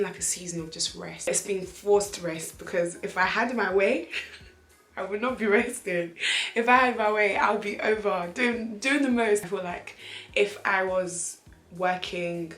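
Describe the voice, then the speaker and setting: A young woman from Nigeria chatting in a vlog, her voice slightly croaky, animated, laughing often and repeating phrases for emphasis. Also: medium pitch, a little slow. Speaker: vlogger. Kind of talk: casual chatty vlog talk. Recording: echoey room.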